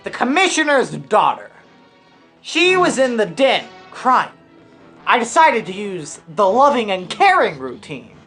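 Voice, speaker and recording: A woman playing a blunt, wry detective in a scripted radio parody, with a deadpan, theatrical delivery. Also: medium pitch, measured pace, soft background music. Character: blunt, wry detective narrating a murder investigation.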